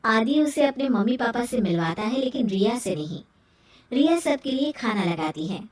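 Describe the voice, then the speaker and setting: High-voiced woman narrating, with roomy echo. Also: expressive, moderate pace. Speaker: story narrator. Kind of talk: scripted narration of a Hindi moral story. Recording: roomy echo, distant from mic.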